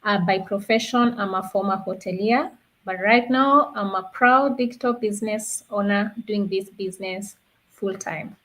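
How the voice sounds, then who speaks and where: A woman's voice: medium pitch, moderate pace, a bit bright, poor audio. A woman from Kenya co-hosting a live online talk and presenting to viewers. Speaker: co-host of an online business talk show.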